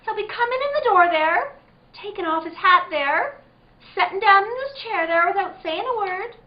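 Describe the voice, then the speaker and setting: A woman's voice: very high voice, expressive, moderate pace. An actress playing a wild-minded, childlike young woman haunted by ghosts, speaking rustic dialect in an eerie, repetitive chant in a radio play. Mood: horrified. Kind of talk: theatrical radio-play acting. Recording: dull, echoey lo-fi stage recording.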